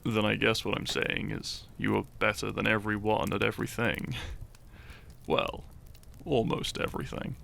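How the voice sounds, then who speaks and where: A man acts out a shy young fantasy hero with a lowish voice, heavy in creak. He is teasing and lovestruck, softly stumbling over his words in an intimate romantic audio roleplay. There is faint noise behind him. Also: level tone, moderate pace. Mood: curious, fond. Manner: soft, hesitant, stammering, breathy sighs and chuckles.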